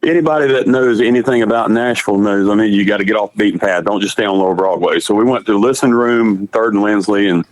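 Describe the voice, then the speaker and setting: A raspy-voiced man with a Southern country accent, a musician calling in and telling his story in a relaxed, chatty way. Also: lowish voice, fast, hardly any pauses, no echo, poor audio. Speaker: musician guest on the phone.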